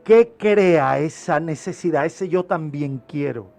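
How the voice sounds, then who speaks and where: A middle-aged man with an accent from Spain and a fairly high voice. He talks as an expert on the radio, laying out points in short, emphatic phrases and turning to examples. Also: takes it slowly, vocal fry, darkish tone, faint music behind. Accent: Spanish from Spain. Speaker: criminologist guest expert. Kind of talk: explanatory radio talk.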